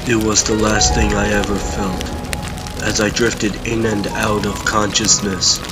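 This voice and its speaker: Low, slow, somber crash survivor played by a man. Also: little pitch movement, music in the background, lightly acted. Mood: somber, reflective. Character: somber male crash survivor recounting his tragedy. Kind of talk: comic dub first-person narration.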